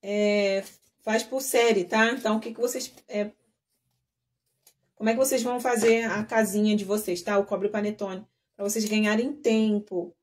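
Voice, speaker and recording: Woman, live sewing lesson, chatty, trailing pauses. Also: mid-range voice, steady tone. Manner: chatty, addressing viewers as girls. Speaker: sewing instructor.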